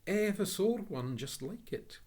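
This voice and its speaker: A man voicing a flustered, polite elderly office gentleman who talks in a fussy, high, slow and bewildered way. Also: very animated, exaggerated voice.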